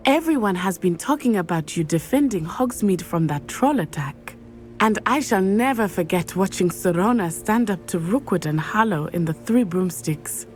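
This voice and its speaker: An actress playing an earnest, determined teenage schoolgirl witch in a video game, speaking in formal, measured phrases. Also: medium pitch, lively intonation, a little mellow, soft background music, close to an everyday voice. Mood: resolute. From Matabeleland, southern Africa. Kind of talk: video game character acting.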